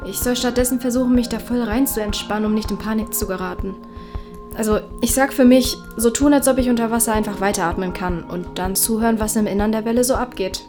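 A woman's voice: fairly high pitch, fairly even intonation, quick pace, natural voice. A woman playing a guarded, sarcastic young DJ in a naturalistic web drama. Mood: reflective. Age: young adult. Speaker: DJ and online creator. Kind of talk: naturalistic web series acting. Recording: loud music behind the voice. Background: music.

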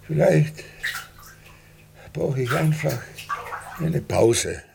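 Gravelly, weary old police detective. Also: male voice, a little high, drawn-out words, noisy background, heavily acted. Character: weary 61-year-old male police detective, stubborn, defensive. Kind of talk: naturalistic radio drama dialogue.

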